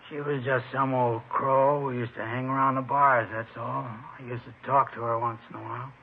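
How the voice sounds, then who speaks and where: An actor playing a surly, defensive murder suspect in a vintage radio drama, curt and exasperated. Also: male voice, medium pitch, fairly even intonation, moderate pace, creaky, close to an everyday voice. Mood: defensive, irritated. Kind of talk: 1950s radio drama acting. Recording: dull old radio recording, faint noise.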